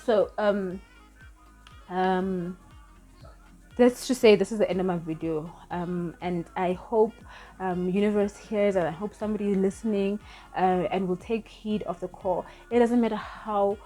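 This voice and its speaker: A woman's voice: medium pitch, steady tone, measured pace, mellow, soft background music. A woman in her thirties, not a native English speaker, giving earnest, rambling spiritual advice in a casual vlog, often correcting herself as she goes. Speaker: spiritual vlogger.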